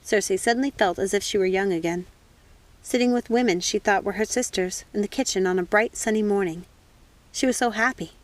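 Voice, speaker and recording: A woman's voice: medium pitch, a little fast. A woman narrating a novel as a storybook reader and host. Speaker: audiobook reader and channel host.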